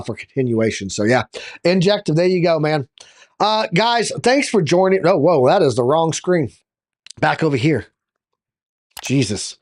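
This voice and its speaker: Slightly hoarse, higher-pitched man hosting live. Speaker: host of a live crypto market show. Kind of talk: casual live chart commentary.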